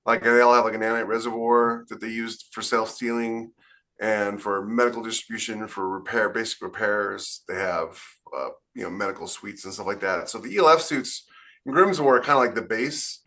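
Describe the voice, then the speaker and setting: A man's voice: lowish voice, normal speed, pushed and bright. A man co-hosting a podcast as a science fiction author, chatting casually and enthusiastically in rambling explanations. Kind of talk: casual panel chat.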